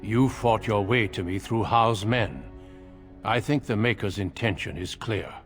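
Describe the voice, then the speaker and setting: An actor playing a courteous, grave warrior recruiter in a video game, speaking in a measured, formal way. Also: male voice, lowish voice, faint music behind. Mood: solemn, firm. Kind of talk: dramatic video game acting.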